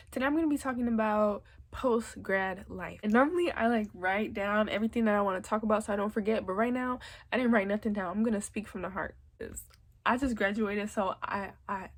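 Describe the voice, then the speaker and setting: A young woman talks to camera in a loose, chatty vlog, her voice husky and slightly breathy. A head cold leaves her nose stuffed up. She reassures the listener warmly, dropping frequent "like" and "literally.". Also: mid-range voice. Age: young adult. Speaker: vlogger. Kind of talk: casual advice vlog.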